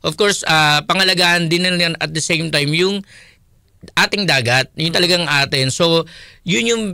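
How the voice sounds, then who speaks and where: A man's voice: on the high side, normal speed, creaky, pushed and bright, clean tone, dry recording, studio quality. A man with a Filipino accent co-hosting a radio program conversationally, mixing Filipino with English. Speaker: radio co-host.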